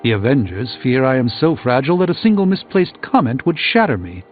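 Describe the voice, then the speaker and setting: A man performs a proud, middle-aged video game sorcerer, weary and eloquent. His phrasing is measured and formal with a dry wit, in a mid-range voice. The sound is slightly muffled, with music underneath. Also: mid-range voice, big pitch swings, close to an everyday voice. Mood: troubled, reflective. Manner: measured, formal, erudite, dryly wry. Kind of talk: theatrical video game character dialogue.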